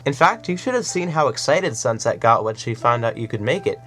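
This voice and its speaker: A man's voice: mid-range voice, lively intonation, a little fast, close to an everyday voice. A man voicing a jovial, fond middle-aged father. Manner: hearty and fond. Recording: soft music behind.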